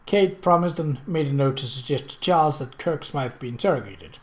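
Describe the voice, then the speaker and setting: Expressive mid-pitched audiobook narrator. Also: fairly quick. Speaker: audiobook reader. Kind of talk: scripted narration of a period mystery novel.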